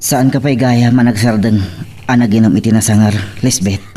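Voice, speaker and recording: Brooding Ilocano man, acted, mid-pitched voice. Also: steady tone, moderate pace, warm tone, close to an everyday voice. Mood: resigned. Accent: Ilocano Filipino. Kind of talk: Ilocano radio-style drama acting. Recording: faint background noise.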